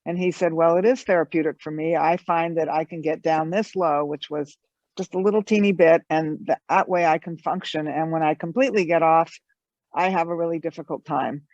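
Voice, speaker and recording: Gravelly man on dull call audio. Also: on the high side, a little fast, no room sound. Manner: animated, telling an anecdote with restarts. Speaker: panelist. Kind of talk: conversational storytelling at an online conference. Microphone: video call.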